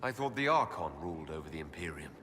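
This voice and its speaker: An actor playing an earnest, questioning elf leader in a video game, speaking in a measured, direct way. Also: male voice, extremely low pitch, very animated, fairly bright tone, exaggerated voice. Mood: curious. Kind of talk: video game dialogue acting. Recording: reverberant hall, faint background noise.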